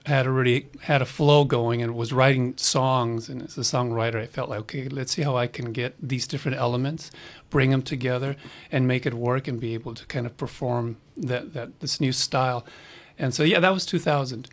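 A laid-back man from Los Angeles, guesting on a radio show as a musician. He talks in a relaxed, rambling way, leaning on fillers and restarts, at an ordinary mid pitch. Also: a little fast. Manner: easygoing, rambling, many fillers. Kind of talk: casual radio interview.

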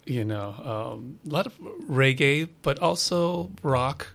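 A man from Los Angeles, a musician guest on a radio show, answering in a relaxed, rambling way with lots of fillers. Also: mid-range voice, slow pace, vocal fry. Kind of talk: casual interview answers.

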